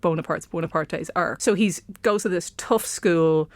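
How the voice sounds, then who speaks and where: A British woman talks through history in a lively, storytelling way, with frequent ums and asides. Her voice is close and dry, recorded in a studio. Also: lowish voice, normal speed, no room sound. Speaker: guest historian. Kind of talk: casual explanatory chat. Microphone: studio microphone.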